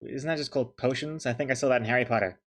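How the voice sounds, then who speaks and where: A man's voice: medium pitch, racing. Chatty New man streaming. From New Zealand. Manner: chatty, jokey, thanking viewers. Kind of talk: casual chat while drawing on stream.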